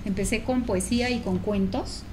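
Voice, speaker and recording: A woman's voice: medium pitch, normal speed, background noise. A middle-aged Mexican woman from Veracruz, an author chatting about her writing in a small studio on a lapel mic. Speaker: author guest. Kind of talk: conversational interview. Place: small indoor TV studio set.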